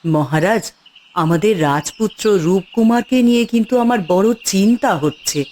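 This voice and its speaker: A woman's voice: mid-range voice, animated, normal speed, dark tone, soft background music, close to an everyday voice. A woman voicing an anxious, doting queen and mother in a cartoon fairy tale, with a pleading, worried manner. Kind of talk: dramatic cartoon voice acting. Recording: faint noise with chirping birds. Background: birdsong.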